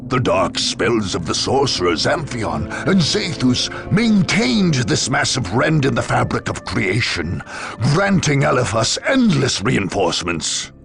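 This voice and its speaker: An actor playing a grave war chronicler, giving dramatic scripted narration for a video game. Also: male voice, medium pitch, very animated, a little slow, creaky, a bit bright, faint music behind, exaggerated voice.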